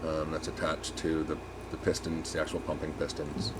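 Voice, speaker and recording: Low-voiced man thinking aloud, noisy background. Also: monotone, moderate pace. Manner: rambling, thinking aloud while working. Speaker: RV repair technician presenting a tutorial. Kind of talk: casual hands-on repair demonstration.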